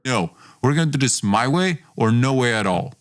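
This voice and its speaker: A man's voice: mid-range voice, lively intonation, normal speed, natural voice. A man voicing a domineering, self-important young man who belittles his friend in acted dialogue for a storytelling podcast. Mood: bossy, boastful. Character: domineering, boastful, scornful young man, a former best friend.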